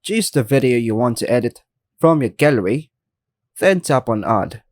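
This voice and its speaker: A man's voice: medium pitch, sing-song, moderate pace, no echo, clean studio sound. A man hosting a step-by-step tutorial, reading scripted instructions plainly in short segmented phrases. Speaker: tutorial host.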